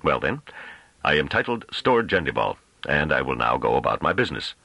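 A man's voice: very deep voice, big pitch swings, fairly quick, creaky, bright, forceful tone, breathy edge, dry recording, highs cut off, exaggerated voice. A man voicing a small, careful scholar in an audiobook, speaking formally and firmly without emotion. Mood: calm, firm. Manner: controlled, emotionless, firm, formal. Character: small pale male scholar, unmoved and careful.

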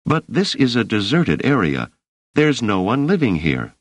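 A man voicing a cautious, sharp-tongued young woman who speaks firmly and gives orders, in a storybook reading. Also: on the low side, wildly swooping pitch, unhurried, dry recording, voice pushed up, heavily acted. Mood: stern. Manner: firm, commanding.

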